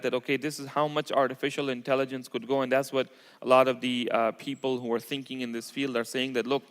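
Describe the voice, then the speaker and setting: A man giving an informal religious lecture to a congregation, explaining step by step and often checking in with short tag questions. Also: mid-range voice. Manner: explanatory, checks in with "okay" and "right". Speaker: Islamic lecturer at a community mosque. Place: large reverberant indoor hall. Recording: echoey hall with long reverb.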